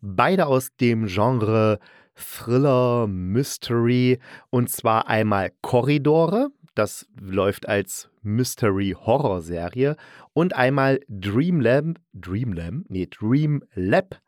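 A man's voice: mid-range voice, lively intonation, slow pace, dry recording, clean studio sound. A man giving a casual, colloquial spoken review as a podcast host, enthusiastic and fond of emphatic repetition.

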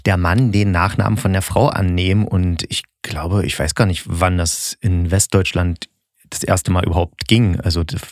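A man's voice: low-pitched, fairly even intonation, moderate pace, dry recording, clean studio sound. A man hosting a podcast, chatting casually and readily breaking into laughter. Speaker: podcast host.

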